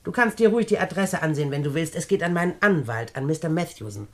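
A woman's voice: low voice, expressive, fast. An actress playing a rich, sly, domineering older woman in a German radio play, with an imperious, theatrical manner. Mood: brisk, imperious. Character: domineering, sly, rich old widowed aunt.

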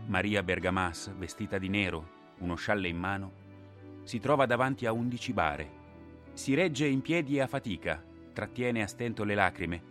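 Male narrator, lowish voice, solemn, measured, scripted radio drama, faint music behind. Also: a bit bright.

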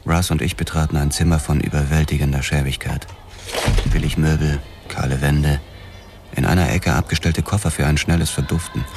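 Very deep, gravelly noir detective. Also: male voice, fairly even intonation, brisk, darkish tone. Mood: detached. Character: world-weary male police detective narrating his case, hard-boiled. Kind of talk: scripted first-person noir narration.

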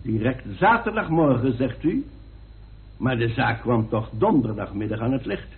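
Tinny radio recording of a formal coroner. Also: male voice, medium pitch, sing-song. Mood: stern, pointed. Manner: formal, measured, authoritative. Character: formal, dry-witted coroner presiding over an inquest. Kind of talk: radio drama acting. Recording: tinny band-limited old radio recording.

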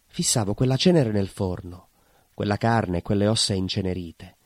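Brooding baker, dry close sound. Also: male voice, lowish voice, dry recording. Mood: grim, brooding. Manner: brooding, dramatic pauses and repetitions. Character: bitter southern Italian baker confessing to vengeful murder. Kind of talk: theatrical monologue, intimate confessional storytelling.

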